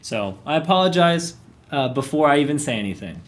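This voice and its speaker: A man's voice: fairly high pitch, very animated, fairly quick. A man hosting a podcast in a casual, eager way, curious and apologetic, laughing easily. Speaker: podcast host. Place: basement room.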